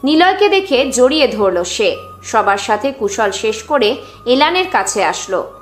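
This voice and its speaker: A woman's voice: fairly high pitch, big pitch swings, measured pace. A woman narrating a scripted dramatic story as its storyteller. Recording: roomy echo with music underneath.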